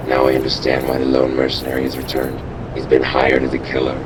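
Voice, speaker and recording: A man playing a grave, commanding robot mission controller in a video game, terse and deadpan as he gives briefings. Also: a bass voice, fast, very noisy.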